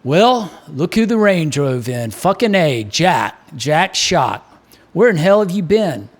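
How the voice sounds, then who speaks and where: Man playing a wisecracking bartender, lifted lively pitch. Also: slow pace, exaggerated voice. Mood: jovial greeting. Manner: breezy, wisecracking banter. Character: ruddy Irish-American male bartender, bantering old pal.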